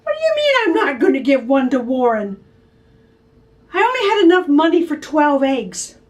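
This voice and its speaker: A woman's voice: high-pitched, sing-song, normal speed, voice pushed up. A woman voicing an upset little girl who gets whiny and insistent. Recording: distant from the mic.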